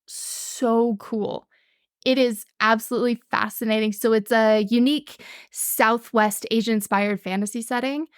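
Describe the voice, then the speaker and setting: A woman's voice: on the high side, fairly even intonation, unhurried, smooth, no echo, studio quality. A young woman in casual podcast chat, rambling and enthusiastic with many fillers and restarts. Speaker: podcast guest, actual-play show organizer.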